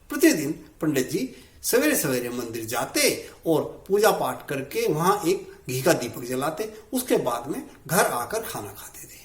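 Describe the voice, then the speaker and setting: A male storyteller narrates a simple moral tale in a conversational style. His voice is fairly high and moves up and down expressively, recorded in a very echoey room. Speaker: storyteller, channel host.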